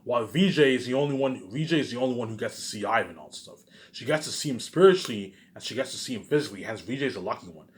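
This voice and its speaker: Ranting man with wildly swinging pitch. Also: expressive, very fast. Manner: emphatic and repetitive, punctuated with muttered tag words; profane, accusatory. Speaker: vlogger. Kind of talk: rambling, ranting monologue addressed to viewers.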